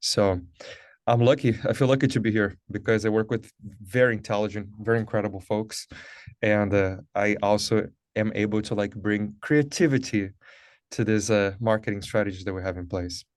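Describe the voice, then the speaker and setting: A man's voice: lowish voice, steady tone, normal speed, no echo. Man from Brazil, Portuguese native, thirties, webinar guest, earnest, filler-heavy, dry close sound. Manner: upbeat, earnest, many fillers like "like" and "uh". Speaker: guest speaker. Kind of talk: conversational interview, webinar.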